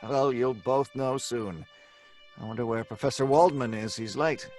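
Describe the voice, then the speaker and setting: A male actor plays an obsessed young man of science in an old-fashioned radio play. His mid-pitched voice is earnest, telling a grim tale. Music plays underneath. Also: steady tone, normal speed, a little mellow, close to an everyday voice. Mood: earnest, affectionate. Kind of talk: old-time radio drama acting with narration.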